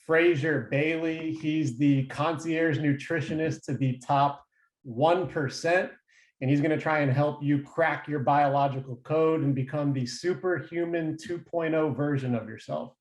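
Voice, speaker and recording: A man's voice: on the high side, steady tone, a little slow, reverberant. A male podcast host introducing his guest in a casual, hype-building way with frequent fillers. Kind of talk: interview introduction.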